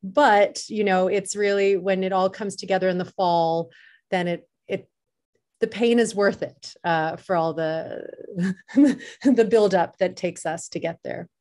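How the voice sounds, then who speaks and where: Candid woman in a medium-pitched voice. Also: steady tone, a little slow, darkish tone. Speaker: film festival director, interview guest. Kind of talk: casual explanatory interview talk.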